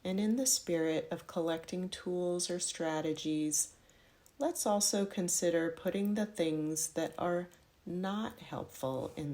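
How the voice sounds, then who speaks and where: A woman with a low voice leads a guided meditation. She speaks very slowly, laying out each instruction in measured phrases. Her direction is gentle and instructional, in the style of yoga nidra.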